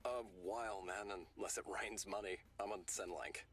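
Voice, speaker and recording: An actor playing a casual young man in a visual novel, with a soft, husky voice. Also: fairly low pitch, fairly even intonation, moderate pace. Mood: laid-back.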